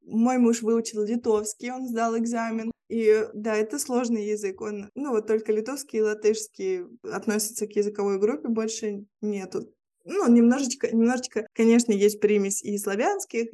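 A woman's voice: fairly high pitch, normal speed, mellow. A woman from Lithuania, a PR specialist and journalist, chatting reflectively as a guest in an interview and searching for words as she goes. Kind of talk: casual interview conversation.

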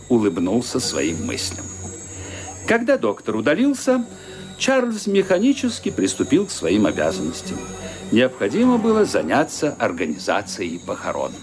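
An actor playing the storyteller of a radio crime drama, narrating dramatically in measured, expressive phrases. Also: male voice, mid-range voice. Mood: ironic.

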